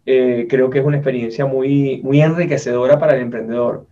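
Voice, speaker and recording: A man's voice: mid-range voice, steady tone, brisk, dark tone. A Venezuelan-accented man in his forties telling stories casually, in a colloquial way with frequent tag questions. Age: 40s. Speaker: interviewer, entrepreneur. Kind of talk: casual conversational storytelling. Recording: muffled, distant from the microphone.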